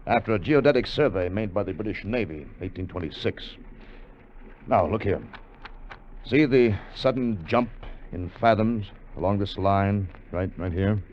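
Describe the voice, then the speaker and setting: An actor playing an earnest, cautious leader of a treasure salvage voyage in 1950s radio drama. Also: male voice, on the low side, moderate pace, close to an everyday voice. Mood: earnest, purposeful. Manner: hesitant starts, earnest explaining. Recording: dull, faintly noisy vintage radio recording.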